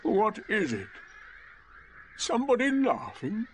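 A man voicing a melancholy, self-pitying donkey in a children's storybook, with drawn-out, lugubrious complaining. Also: sits high, huge pitch swings, slight background noise, pitched above the performer's own voice, exaggerated voice. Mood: resigned self-pity.

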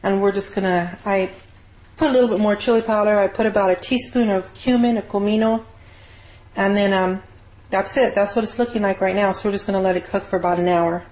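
A woman's voice: mid-range voice, fairly even intonation, fairly quick, darkish tone. A woman giving a casual, rambling, unscripted cooking demonstration as a home cook in her kitchen. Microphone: handheld camera mic. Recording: noisy amateur camera audio with clicks.

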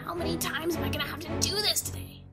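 A woman voicing a cocky, blunt young female pegasus pony in an animated radio play. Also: very high voice, fast, loud music behind the voice. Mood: exasperated. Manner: boastful, blunt, exasperated.

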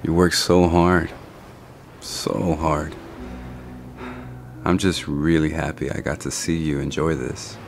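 A young man in an ASMR role-play as a doting boyfriend, speaking softly and intimately with long, tender pauses. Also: extremely low pitch, flat delivery, creaky, faint music behind. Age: young adult.

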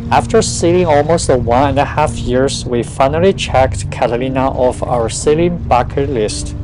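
Music plays clearly beneath a man's voice. He narrates a travel vlog from a script at a middle pitch. His delivery is measured, set out phrase by phrase. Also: rough recording. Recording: music under the voice. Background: background music.

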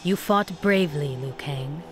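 A woman's voice: low voice, unhurried, music in the background. A woman playing a poised, cool warrior princess in a video game, formal and composed. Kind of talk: video game cutscene acting.

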